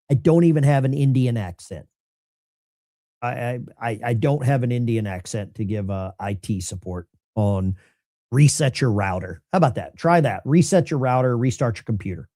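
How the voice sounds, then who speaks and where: Joking talk-show man, lively pitch. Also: no echo, studio quality. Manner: joking, offhand, quick quips. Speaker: call-in show host. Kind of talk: casual call-in talk.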